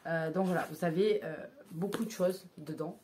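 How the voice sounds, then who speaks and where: Woman vlogger, thirties, lowish voice, halting and full of fillers. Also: fairly even intonation, dark tone, a little hiss or hum. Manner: hesitant, many filler sounds and restarts. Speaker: vlogger reviewing books. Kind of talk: casual chat to camera.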